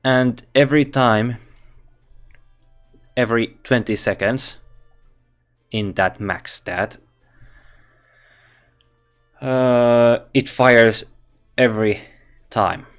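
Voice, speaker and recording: A man with a Finnish accent reviewing a game character, thinking aloud in halting English with frequent restarts. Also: medium pitch, clean tone, soft background music. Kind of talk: casual game commentary.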